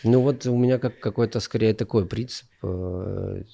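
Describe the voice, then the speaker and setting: A man with a low, gravelly voice and a dark, mellow tone speaks in a relaxed interview. He hesitates often, restarting his phrases and filling pauses as he thinks aloud. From Ukraine. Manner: hesitant, many fillers and restarts. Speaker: guest musician. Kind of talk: casual conversational interview.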